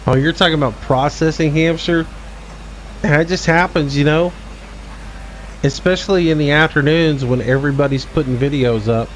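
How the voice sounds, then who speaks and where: A man's voice: on the high side, moderate pace, a little hiss or hum, low-quality recording. A man in his forties giving casual, laid-back gameplay commentary as a streamer, chatting back to his viewers. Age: 40s. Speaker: game streamer.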